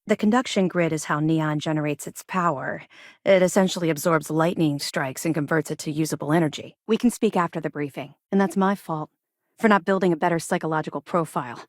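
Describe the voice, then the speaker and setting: Low-voiced, disciplined female space officer. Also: no room sound, clean studio sound. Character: disciplined, earnest female space-navy officer, former pirate crew. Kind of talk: video game character dialogue.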